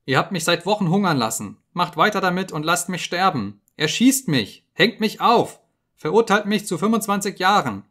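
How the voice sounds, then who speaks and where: A man reading an audiobook, voicing a terrified, emaciated male prisoner who begs and screams in a high, frantic voice. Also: very animated, moderate pace, fairly bright tone, full character voice. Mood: desperate. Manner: shrill, frantic pleading and screaming.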